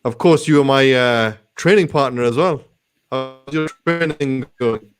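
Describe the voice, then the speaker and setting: A man's voice: mid-range voice, a little slow, smooth, soft background music. A man hosting a casual interview show, speaking in an informal, matey way with Arabic phrases sprinkled in. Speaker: talk show host.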